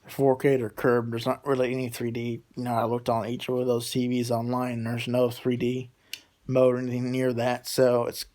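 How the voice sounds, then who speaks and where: A man's voice: medium pitch, monotone, brisk, darkish tone. A man in his late twenties chatting casually in a rambling way, repeating himself and drifting between thoughts as he talks to his subscribers. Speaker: vlogger talking to subscribers.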